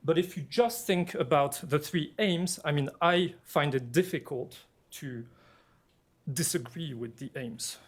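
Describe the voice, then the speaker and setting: A man from Belgium with a French-influenced accent, giving a measured academic panel presentation as a political scientist, pausing often with fillers. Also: on the high side. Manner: measured and deliberate, with frequent filler pauses.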